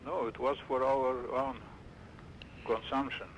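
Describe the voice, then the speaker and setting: A man in his seventies from Romania, recalling his childhood in an interview and often pausing to search for words. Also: medium pitch, little pitch movement, slight background noise, low-quality recording. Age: 70s. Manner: halting, restarts and searches for words.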